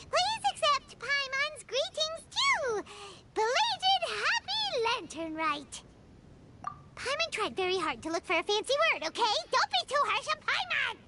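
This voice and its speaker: An extremely high, childlike little fairy sidekick from a video game. The delivery is excitable and naive, leaping up and down, with noise clearly audible behind it. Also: female voice, exaggerated voice. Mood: nervous, pleading. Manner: exclamatory, naive, refers to herself by name. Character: tiny excitable childlike fairy companion, talks in third person.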